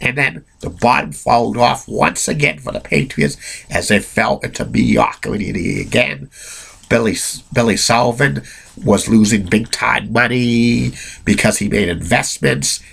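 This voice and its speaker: A man's voice: medium pitch, measured pace, creaky, a bit bright, husky. A man with a cold telling sports history off the cuff, rambling with many restarts and blunt opinions. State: has a cold. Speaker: amateur sports history narrator. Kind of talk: unscripted solo narration.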